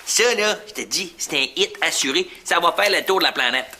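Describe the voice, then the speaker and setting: A man's voice: fairly high pitch, animated, a little fast, sharp tone, a little hiss or hum. An actor playing a boastful, dim, enthusiastic self-styled guru, a man with a Quebec French accent who rambles cockily. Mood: excited, boastful. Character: boastful, dim, excitable negative-thinking guru.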